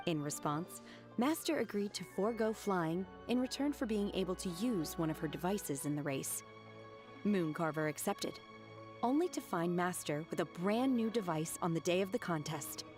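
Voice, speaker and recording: Calm flat young disciple girl, a woman voicing her, kinda low voice, very literal, light music behind. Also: natural voice. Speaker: disciple and restaurant worker. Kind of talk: video game voice acting. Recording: game audio with music behind.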